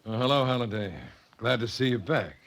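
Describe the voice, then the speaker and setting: Mature male villain, played by an actor, medium-pitched, curt and demanding, vintage radio crime drama. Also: a little fast, music in the background, natural voice. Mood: suspicious, demanding. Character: impatient, domineering crooked father, middle-aged or older. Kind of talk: 1940s radio drama acting.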